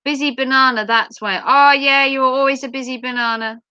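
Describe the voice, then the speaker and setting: High bright-voiced woman chatting to her stream. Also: fairly even intonation, fairly quick, clear, resonant tone, no room sound, highs cut off. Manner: chatty, playful, giggly. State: voice going hoarse. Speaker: livestreamer. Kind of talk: casual chat with viewers.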